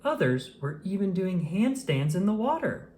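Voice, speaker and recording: A woman reading a children's picture book aloud in an expressive storytelling manner. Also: on the low side, normal speed, a little hiss or hum. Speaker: storybook reader. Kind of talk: children's read-aloud narration.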